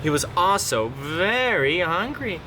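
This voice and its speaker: Slow, very expressive man reading a storybook. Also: high-pitched, background noise. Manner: dramatic, drawn-out words. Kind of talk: theatrical children's picture book reading.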